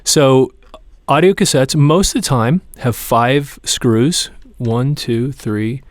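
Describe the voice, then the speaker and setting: A man's voice: medium pitch, takes it slowly, dry recording. Man giving a casual how-to, dry close sound. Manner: informal, plain-spoken step-by-step explaining. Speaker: repair tutorial presenter. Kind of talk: casual hands-on how-to demonstration.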